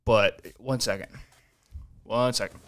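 A man's voice: mid-range voice, steady tone, measured pace. A man of twenty-two hosting his own podcast solo, rambling casually and swearing freely, recorded on a desk mic in a small home studio. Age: 20s. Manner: rambling, loose and profane, self-reflective. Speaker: podcast host. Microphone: desk mic on boom arm, headphones on.

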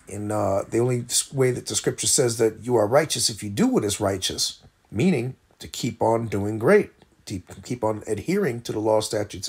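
Husky guy preaching off the cuff, street talk, slows way down for verses. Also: mid-range voice. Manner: rambling, streetwise, slows to read verses aloud. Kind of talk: casual scripture teaching.

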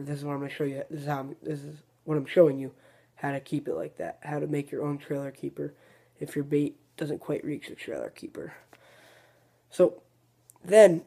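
A man hosting a fishing video, casually demonstrating a tackle trick step by step and often restarting his sentences. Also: a little high. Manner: relaxed, rambling, self-correcting explanations.

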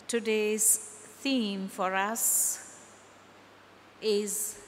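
A middle-aged woman pastor from India preaching a sermon at a pulpit, slow and deliberate, with pauses and rising emphasis. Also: mid-range voice, steady tone, a little hiss or hum. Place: large church hall, at a pulpit. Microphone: stand mic at the lectern. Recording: church hall reverb with faint ambience.

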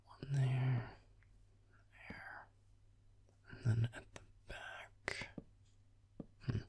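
Man playing a grumpy, gravelly werewolf gamer boyfriend. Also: drawn-out words, full character voice. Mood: muttering, unimpressed. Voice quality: low, breathy, gravelly half-whisper. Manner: muttering, swearing, sarcastic, deadpan. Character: grumpy young male werewolf boyfriend, gamer, sulky and aloof. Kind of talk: roleplay audio, muttered video game commentary.

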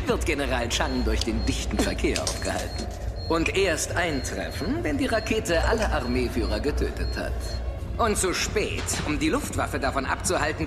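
A man playing a megalomaniac media mogul in a film dub, gloating, pompous and sardonic. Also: fairly high pitch. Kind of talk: film acting, German dub.

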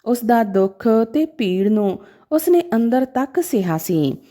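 Mellow, high-voiced woman storyteller. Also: very animated, unhurried. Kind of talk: scripted story narration.

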